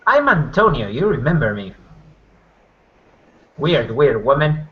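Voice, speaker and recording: A man roleplaying casually, narrating his character in a halting, sardonic, sweary manner. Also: mid-range voice, sing-song, dull audio. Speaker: tabletop roleplayer.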